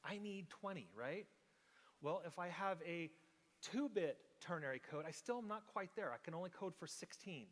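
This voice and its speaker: A man delivers a teaching sermon with a slightly higher voice, walking through ideas step by step. He keeps checking in with the congregation as he goes. His voice rings out with the long reverb of a church hall. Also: lively intonation, moderate pace. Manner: explanatory, checks in with the congregation often. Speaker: pastor. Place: church sanctuary.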